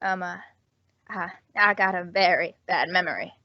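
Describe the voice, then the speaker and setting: A woman's voice: lowish voice, moderate pace, no room sound, close to an everyday voice. A woman playing a sly, brash, grasping rooming-house landlady with slangy talk in an old-time radio drama. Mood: cagey. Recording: dull, dry lo-fi audio.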